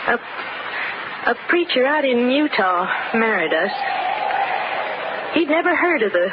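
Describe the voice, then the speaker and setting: An actress playing an outlaw's worried, once-wild wife in a 1950s radio western, plain-spoken and hesitant with a rural Western American accent. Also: female voice, on the high side, sing-song, unhurried, over a noisy crowd. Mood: pleading, regretful. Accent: rural American West. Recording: dull, noisy 1950s radio recording.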